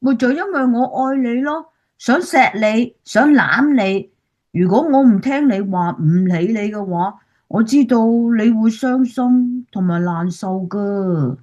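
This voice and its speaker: A woman's voice: on the high side, expressive, measured pace, a little mellow, dull audio. A woman playing a sweet, affectionate young granddaughter in a radio drama, childlike and earnest. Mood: sweet, loving.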